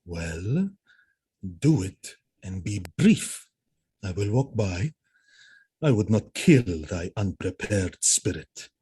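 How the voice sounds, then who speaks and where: An actor playing a jealous, murderous husband in a Shakespearean audio drama, solemn, declamatory and anguished. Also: male voice, fairly low pitch, very animated, takes it slowly, mellow, breathy edge, no room sound, heavily acted. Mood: grave, menacing. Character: jealous, murderous husband, grave and tormented military lord.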